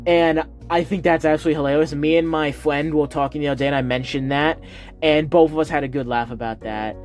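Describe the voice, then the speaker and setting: A man's voice: on the high side, fairly even intonation, fast. Southern guy, heavy lisp and speech impediment, rambling casual vlog talk, phone-recorded over faint music. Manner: rambling, earnest, with fillers. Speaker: YouTuber. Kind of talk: casual chat to viewers.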